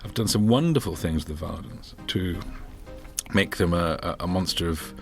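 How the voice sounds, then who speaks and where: A man with a very low voice talks casually about writing the episode. He hesitates a lot and keeps circling back to restart his sentences. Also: moderate pace, faint music behind. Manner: hesitant, restarts phrases often. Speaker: writer of the episode. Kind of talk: casual behind-the-scenes chat.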